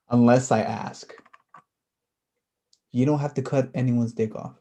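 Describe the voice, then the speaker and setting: Woman doing a hesitant wistful young guy in a stage play, low and soft-toned, casual. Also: fairly even intonation, slow pace, exaggerated voice. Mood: amused. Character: mild, wistful, evasive young man named Jason. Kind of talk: staged play reading, naturalistic dialogue.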